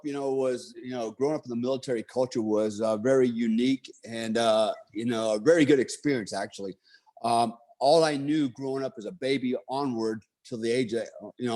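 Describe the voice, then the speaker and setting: A man in his late fifties recounts his life in an easygoing, unscripted way. He rambles, circles back and leans on fillers as he goes. His voice sits at an ordinary middle pitch. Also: a little mellow. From United States. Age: 50s. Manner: rambling, many fillers and restarts. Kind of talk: casual autobiographical monologue.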